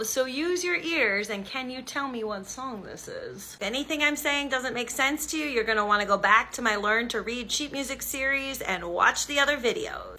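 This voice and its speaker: A woman's voice: high-pitched, sharp tone. A woman teaching an online sight-singing lesson, explaining step by step in an encouraging way and now and then singing short examples. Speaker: music teacher.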